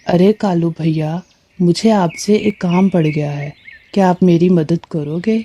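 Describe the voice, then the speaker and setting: A woman as a helpful cautious male cartoon bird, lowish pitch, mellow tone, earnest explaining. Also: fairly even intonation, measured pace, highs cut off. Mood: polite, pleading. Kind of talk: Hindi moral story cartoon dubbing.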